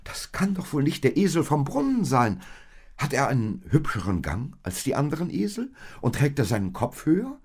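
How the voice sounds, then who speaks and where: A man voicing a tender, eager young woman in a storybook tale, with breathy, gushing exclamations. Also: mid-range voice, wildly swooping pitch, unhurried, a little mellow, voice pushed up, heavily acted. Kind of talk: storybook character voice in narrated tale.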